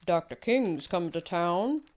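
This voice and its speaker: A woman's voice: lowish voice, lively intonation, takes it slowly, darkish tone. A woman voicing a hopeful father in a storybook. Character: warm, proud father, hopeful about change.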